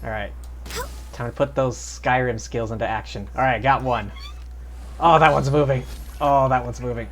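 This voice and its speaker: A man's voice: mid-range voice, fairly even intonation, normal speed, long pauses, a bit bright, slight background noise. A man doing casual live gameplay commentary, muttering short, repeated reactions to himself as he plays. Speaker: game streamer.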